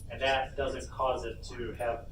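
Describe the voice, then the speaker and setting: A man heard from a distance in a noisy, very roomy space. He walks through a software demonstration step by step, informal and halting as he thinks aloud. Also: mid-range voice, little pitch movement. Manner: informal, halting narration of own steps. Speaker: workshop presenter, coder. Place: indoor convention panel room. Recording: roomy, distant, noisy panel room recording.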